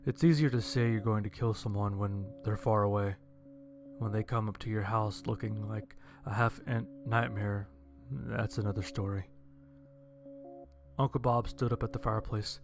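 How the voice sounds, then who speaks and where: A man's voice: fairly low pitch, fairly even intonation, normal speed, music in the background. A man narrating a scripted first-person horror-comedy story in a dry, wry manner.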